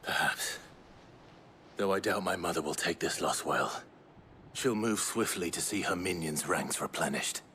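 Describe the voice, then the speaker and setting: An actor playing a brooding, resolute young nobleman in exile in a fantasy game, with a measured, formal, understated delivery. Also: low-pitched, sharp tone, a little hiss or hum. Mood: grim, doubtful. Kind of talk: dramatic fantasy game acting. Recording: game music behind the dialogue.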